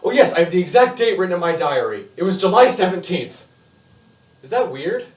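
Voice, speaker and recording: A man performing a stage monologue as a talkative, confiding teenage boy, with animated delivery and emphatic asides. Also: high voice, a little fast, pitched above the performer's own voice. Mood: confiding, earnest. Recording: echoey room, far from mic.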